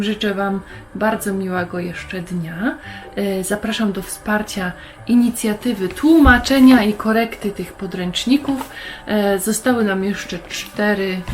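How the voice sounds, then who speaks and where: A woman's voice: mid-range voice, slight background noise. A woman hosting a Bible lesson for young children, explaining things simply and putting questions to the listener.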